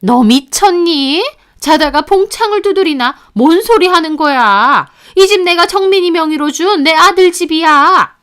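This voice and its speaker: Woman voicing a shrill older mother-in-law, sharp, scolding, high-pitched, smooth tone, storytelling. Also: sing-song, normal speed. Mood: furious.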